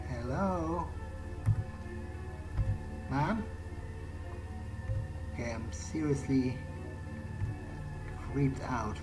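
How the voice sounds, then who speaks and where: Exasperated, nervy vampire voiced by a let's player for an in-character game playthrough; unhurried, halting, muttering delivery. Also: mid-range voice, poor audio. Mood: wary. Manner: hesitant, muttering, repeats words, mildly swearing. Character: jittery, exasperated young vampire exploring a creepy mansion. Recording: game soundtrack music behind the voice.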